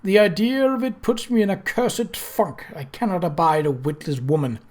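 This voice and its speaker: A man voicing a petulant, self-pitying young gentleman in a storybook reading, with wry, sighing, theatrical upper-class phrasing. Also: high-pitched, normal speed, pitched above the performer's own voice. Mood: bitter, gloomy.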